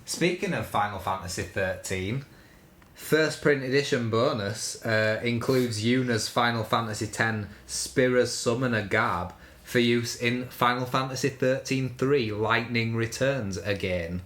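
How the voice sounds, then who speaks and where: A man's voice: medium pitch, measured pace, a bit bright. A man hosting a casual video game discussion, speaking bluntly and informally with some swearing. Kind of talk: casual opinionated chat. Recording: roomy, echoing sound.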